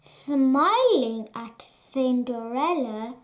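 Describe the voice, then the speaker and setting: Slow, sing-song bedtime storyteller woman. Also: high voice. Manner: slow, expressive, sing-song read-aloud delivery. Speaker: storybook reader. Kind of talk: reading a picture book aloud at bedtime.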